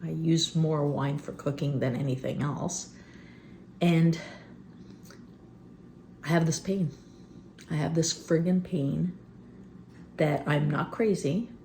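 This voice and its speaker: Phlegmy woman in her fifties, low and gravelly. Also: steady tone, slow pace, long pauses, warm tone, a little hiss or hum. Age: 50s. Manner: rambling, candid, many fillers. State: phlegmy, clearing her throat. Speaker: vlogger. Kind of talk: unscripted personal vlog. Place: rural homestead.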